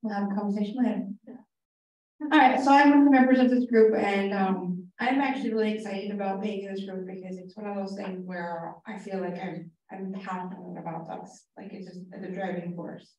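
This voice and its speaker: A woman speaker at a conference with a booming, animated way of talking. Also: a little fast, dull audio. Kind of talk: conference presentation. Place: conference room. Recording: echoey hall from far off.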